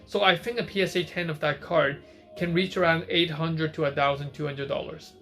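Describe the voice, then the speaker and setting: Male stream host from Hong Kong, somewhat high voice, casual chat answering viewer questions, faint music behind. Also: steady tone, fairly quick, bright, forceful tone. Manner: chatty, reads out viewer questions and answers them on the spot.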